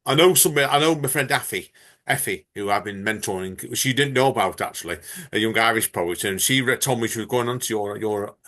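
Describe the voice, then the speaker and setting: A man's voice: fairly low pitch, fast, fairly bright tone. A man hosting a podcast chat, talking in a chatty, rambling, enthusiastic way. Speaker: podcast host. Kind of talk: casual chat.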